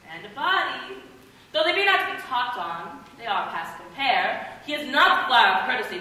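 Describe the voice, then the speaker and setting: A woman's voice: on the high side, bright, forceful tone, heavily acted. A woman acting a garrulous, weary, teasing old nurse in a Shakespeare play, theatrical and complaining. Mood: teasing, rambling. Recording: echoey hall, distant mic, faint noise.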